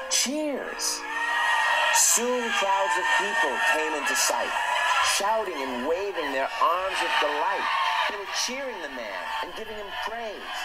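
A man reading aloud a rhyming picture book, in a slow, lilting storytelling voice. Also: fairly high pitch, poor audio. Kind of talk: rhyming storybook read-aloud over music. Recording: played back with guitar music behind.

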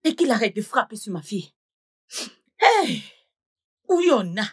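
A woman's voice: mid-range voice, big pitch swings, quick pace, breathy edge. A fierce, scolding mother. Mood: indignant. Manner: scolding, indignant outbursts. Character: fierce protective mother, middle-aged woman. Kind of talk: dubbed film acting.

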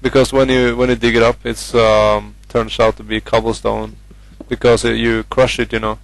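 A man's voice: medium pitch, level tone, moderate pace, gravelly, low-quality recording. A young man from Norway with a Norwegian accent, casually commentating his game with many hesitant fillers. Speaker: gamer.

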